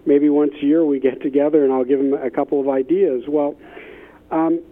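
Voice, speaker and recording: A man's voice: fairly high pitch, little pitch movement, brisk. A man speaking as an expert guest in an interview, telling anecdotes conversationally. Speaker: expert guest, business innovation consultant. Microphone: phone line. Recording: thin phone-line sound.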